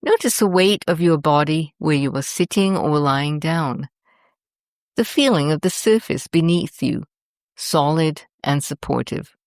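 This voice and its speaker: A woman host narrating a scripted guided meditation in a soothing, deliberate manner, leaving long pauses between short phrases. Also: low-pitched, animated, darkish tone, dry recording, studio quality.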